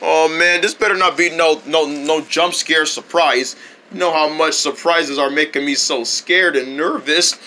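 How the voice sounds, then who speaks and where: A man's voice: a little high, steady tone, measured pace, pushed and bright. A man voicing a nervous, goofy monkey who blusters and repeats himself in an amateur cartoon skit. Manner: jittery, exclaiming, repeats himself. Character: nervous goofy monkey named Drone, blustering, reluctant fighter.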